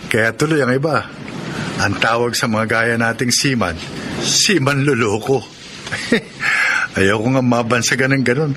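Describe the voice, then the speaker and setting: A man's voice: medium pitch, measured pace, lightly acted. Principled Filipino seaman, noisy radio drama male actor. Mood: earnest. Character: Ramon, principled, thrifty Filipino seaman and devoted father. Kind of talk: Tagalog radio drama acting.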